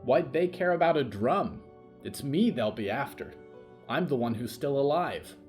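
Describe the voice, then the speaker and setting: Man acting out grumbling young boy scout, pitched up. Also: expressive, a little slow, darkish tone, faint music behind, lightly acted. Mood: irritated. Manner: sighing, grunting.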